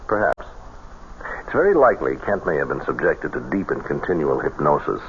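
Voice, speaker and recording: An actor playing a stern, suspicious middle-aged American colonel in an old-time radio drama, grave and formal in manner. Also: male voice, a bass voice, big pitch swings, fairly quick, exaggerated voice. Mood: suspicious, commanding. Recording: muffled, noisy old radio drama recording.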